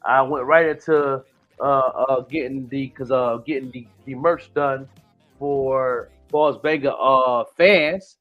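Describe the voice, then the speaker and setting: A man's voice: medium pitch, fairly even intonation, slow pace. Male host, late 40s, loose rambling chat full of "you know what I'm saying", muffled lo-fi recording with faint music under it. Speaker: podcast host. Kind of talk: casual chat.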